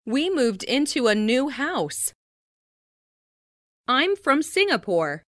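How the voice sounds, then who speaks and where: A woman's voice: high voice, very animated, slow, dry recording. Lady doing language drill sentences, really varied intonation, super dry close sound. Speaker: language lesson narrator. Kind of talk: scripted reading of English practice phrases.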